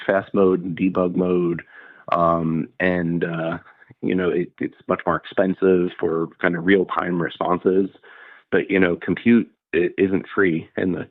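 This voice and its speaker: A man giving casual solo commentary on tech as a podcast host, talking in a halting, rambling way. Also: deep voice, no echo. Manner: halting, hedging, rambling delivery. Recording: narrow phone-like sound.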